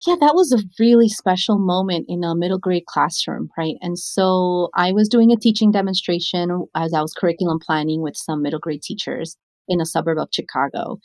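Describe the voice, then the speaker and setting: A woman's voice: on the low side, fairly quick, darkish tone, no echo. A woman from Chile who moved to the US as a child, speaking conversationally as an educator and telling anecdotes with frequent check-ins. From born in Chile, came to the United States when little. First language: Spanish. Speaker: educator and author. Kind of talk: conversational interview answer with anecdotes.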